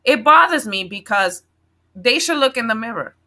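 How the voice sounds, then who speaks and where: A woman's voice: on the high side, animated, normal speed, bright, forceful tone. A woman in her forties hosting a casual one-on-one interview in a blunt, assertive way. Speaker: interview show host.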